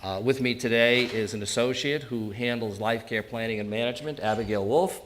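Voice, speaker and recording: A man's voice: medium pitch, brisk, fairly bright tone. A man in his sixties with a New England accent, giving an informal live talk and bantering jokingly with his audience. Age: 60s. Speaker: presenter, elder law attorney.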